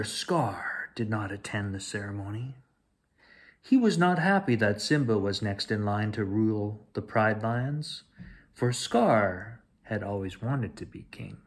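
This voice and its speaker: Man, forties, unhurried storyteller, animated pitch, expressive children's read-aloud. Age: 40s. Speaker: storyteller reading bedtime stories. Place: small home room with shelves, indoors.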